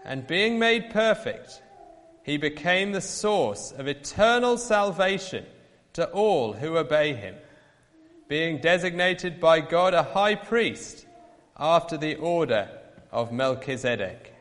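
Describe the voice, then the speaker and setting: A man reading scripture aloud in church, slow, solemn and lilting. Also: on the high side, expressive, a bit bright, long reverb tail. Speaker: Bible reader.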